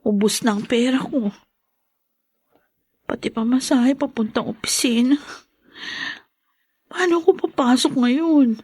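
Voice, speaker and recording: A woman's voice: high voice, measured pace, pauses often, darkish tone, breathy edge, dry recording. An actress in a Tagalog radio drama playing a meek, long-suffering young mother with an earnest, hesitant manner. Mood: despairing.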